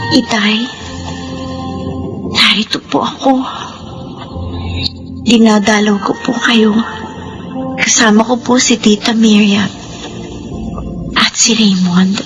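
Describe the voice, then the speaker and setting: A woman playing an earnest young orphaned woman in a radio drama, speaking with deep emotion. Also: poor audio. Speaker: narrator of her own story. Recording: radio drama with music underneath. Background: soft music.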